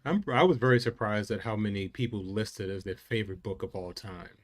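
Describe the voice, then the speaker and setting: A man's voice: on the low side, brisk, a little hiss or hum. A man speaking casually in a book discussion.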